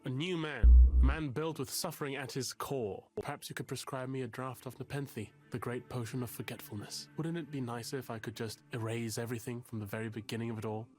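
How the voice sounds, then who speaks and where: A man's voice: mid-range voice, fairly even intonation, a little fast, darkish tone, natural voice. An actor in a visual novel playing a self-loathing, melodramatic young academic, wordy and self-mocking in his delivery. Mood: despairing, brooding. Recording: music under the voice.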